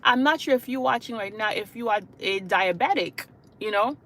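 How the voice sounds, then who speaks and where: A woman from Haiti speaking as a hospice caregiver to her online viewers. Her voice sits fairly high, and her delivery is earnest and repetitive. She leans on "you know" and "okay?" between her points. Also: expressive, moderate pace, fairly bright tone. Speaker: hospice caregiver and channel host. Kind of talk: livestream talk to viewers.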